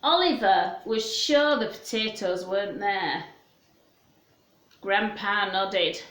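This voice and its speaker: A woman storyteller reading expressively to young children, with gently questioning asides, in an echoey room. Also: medium pitch, takes it slowly. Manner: animated, gently questioning, addressing small children.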